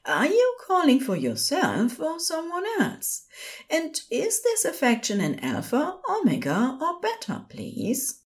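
A prim, patient male nurse from an audio story, acted by a woman. He speaks high and very sing-song, with courteous, formal phrasing and a calm, soothing manner, taking his time over each phrase. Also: slow, exaggerated voice.

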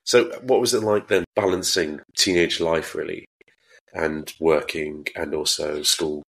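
A man's voice: a bass voice, fairly even intonation, measured pace, fairly bright tone, poor audio. A young man co-hosting a podcast, asking questions in a chatty way with lots of "like" and laughter. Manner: chatty interviewer, hesitant fillers, laughs. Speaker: podcast co-host. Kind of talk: casual podcast chat.

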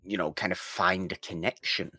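Male podcast co-host, low and forceful, casual film talk, restates points emphatically, dry recording. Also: steady tone, normal speed, no echo.

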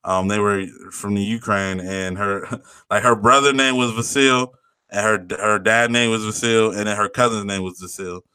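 A young man chatting casually on a podcast, easygoing and rambling, full of slang. His voice sits fairly low but sounds bright and crisp. The recording is close and dry. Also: fairly even intonation, moderate pace, no echo. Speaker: podcast co-host. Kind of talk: casual chat.

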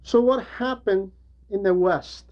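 A man's voice: sits high, slow, a little mellow, highs cut off. A man delivering an explanatory religious homily in a didactic way, repeating phrases for emphasis. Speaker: preacher and religious teacher.

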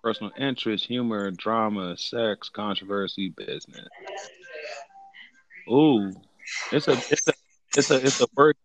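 A man's voice: lowish voice, a little slow, pauses often, faint music behind, poor audio. A man co-hosting a podcast, talking casually and rambling with many repeats. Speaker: podcast co-host. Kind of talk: casual banter.

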